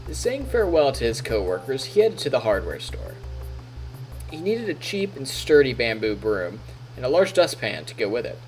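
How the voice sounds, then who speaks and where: A man's voice: mid-range voice, sing-song, normal speed. A man streaming, reading narration from a visual novel aloud and adding casual comments. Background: game music.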